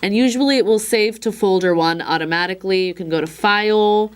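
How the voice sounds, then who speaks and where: A slightly hoarse woman giving a casual, chatty product demonstration, with frequent fillers and wry asides. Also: medium pitch, a little fast. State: a little hoarse. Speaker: instructor demonstrating a recorder.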